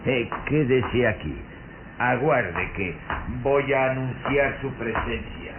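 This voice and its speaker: A man's voice: medium pitch, expressive. A man playing a sinister, cryptic servant of a vampire count in a radio drama, formal and ominous in his delivery. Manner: ominous, deliberate, formal, with menacing chuckles. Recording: muffled, noisy old radio drama recording.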